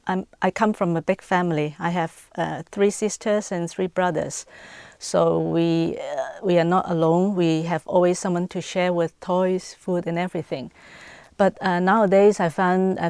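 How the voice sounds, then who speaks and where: A woman's voice: on the low side, darkish tone, clean tone, dry recording, clean studio sound. A woman with a Hong Kong accent giving her opinion in a hesitant way, with restarts. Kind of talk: casual spoken opinion.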